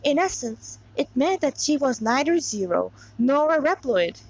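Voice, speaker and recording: A woman with a somewhat high-pitched voice narrates in a homemade, informal style. She rambles and digresses, slipping between reading and chatty explanation. The sound is a little dull and lo-fi. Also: sing-song, unhurried. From Montreal, Canada. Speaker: narrator reading a story aloud with commentary. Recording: dull lo-fi recording.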